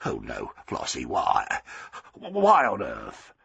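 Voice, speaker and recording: A male reader voicing a grumpy, embarrassed husband who mutters low. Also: huge pitch swings, takes it slowly, vocal fry, a bit bright, slightly rough, no room sound, lo-fi sound, heavily acted. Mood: grumbling.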